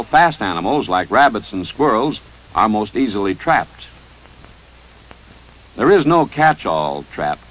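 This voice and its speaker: A man with an American accent reading a scripted 1950s instructional film narration in a measured, authoritative, instructive manner. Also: mid-range voice, a bit bright, rough recording. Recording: 1955 training film soundtrack.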